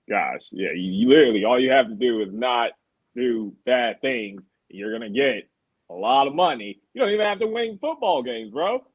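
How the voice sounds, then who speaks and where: A man's voice: medium pitch, no echo, phone-quality sound. Slangy male sports anchor on earbuds. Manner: relaxed and slangy, many fillers. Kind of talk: casual sports talk. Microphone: earbuds on a call.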